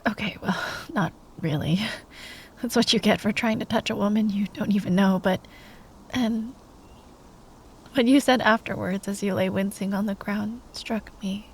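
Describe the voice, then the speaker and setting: A woman playing a proud, grieving royal knight in a fantasy ASMR roleplay, speaking softly and haltingly, often in a breathy whisper, tender with a wry edge. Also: medium pitch, a little hiss or hum, close to an everyday voice. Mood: teasing, tender.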